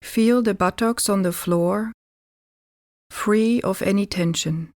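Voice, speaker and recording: A woman guiding a relaxation meditation as a yoga teacher, calm and deliberate, giving gentle instructions with pauses. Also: mid-range voice, no room sound, clean studio sound.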